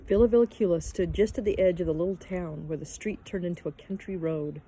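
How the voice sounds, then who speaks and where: A woman's voice: on the low side, fairly quick, warm tone, breathy edge, rough recording. A woman narrating a children's book aloud as a teacher would for young listeners. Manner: explains words to young listeners. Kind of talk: children's storybook read-aloud.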